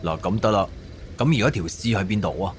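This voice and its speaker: A man acts as an authoritative middle-aged police captain in a radio play. His voice is low and his delivery measured and official, firm whether he persuades or gives orders. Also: lively intonation, slight background noise, close to an everyday voice. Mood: firm, persuasive. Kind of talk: Cantonese audio drama acting.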